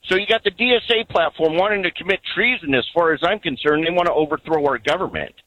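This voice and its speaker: A man phones in to a talk radio show and rambles earnestly through his point, with plenty of ums. His voice sits a little high for a man, and it sounds dry and close. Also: fast talker, bright, forceful tone, dry recording, dull audio. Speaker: caller.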